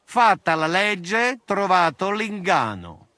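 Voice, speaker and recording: A crude, teasing rogue from a historical video game. His voice is high and bright, and he takes his time over his irreverent jibes. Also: big pitch swings, takes it slowly, no room sound, full character voice. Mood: amused. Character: crude, mocking Slavic rogue named Janosch, joking troublemaker.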